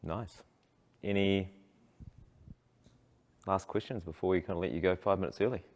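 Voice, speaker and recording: A man presenting at a conference, answering questions in a relaxed, chatty way. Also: deep voice, moderate pace. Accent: non-European English. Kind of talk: conference session Q&A. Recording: long reverb of a conference hall.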